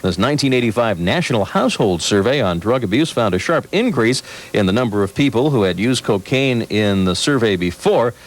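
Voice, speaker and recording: A man's voice: medium pitch, lively intonation, brisk. A man reading the morning news on the radio, dropping wry jokes between items. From California. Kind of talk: morning radio newscast with banter.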